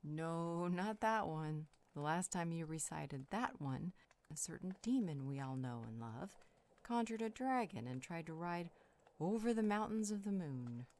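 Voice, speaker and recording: A woman's voice: on the low side, lively intonation, unhurried, darkish tone. A woman playing an affectionate, teasing, soothing vampire healer in an intimate audio roleplay. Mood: teasing, scolding. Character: warm, playful, caring female vampire healer.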